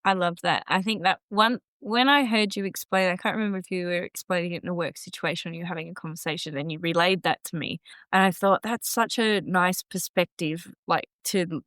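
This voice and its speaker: A woman in her thirties hosting a casual podcast chat, chatty and quick to laugh. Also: lowish voice, steady tone, a bit bright, no echo. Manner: chatty, laughing easily, lots of fillers. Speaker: podcast host. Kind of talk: casual conversation.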